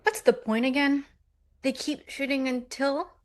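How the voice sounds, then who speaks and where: Actress as bold, higher-voiced young twin. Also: female voice, fairly even intonation, measured pace. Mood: impatient. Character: bold, persuasive millennial twin sister. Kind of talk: table read of a comedy script.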